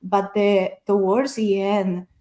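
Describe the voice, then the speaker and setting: A woman's voice: mid-range voice, little pitch movement, slow pace, mellow. A woman whose first language is Spanish, talking casually as a panelist in a book discussion, explaining her thoughts in a considered way.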